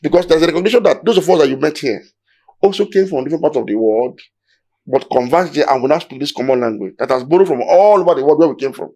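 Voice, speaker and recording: A man's voice: fairly high pitch, animated, fast talker, dark tone. A Nigerian man with a Nigerian accent, explaining history in a discussion in an emphatic, lecturing way, building his points step by step.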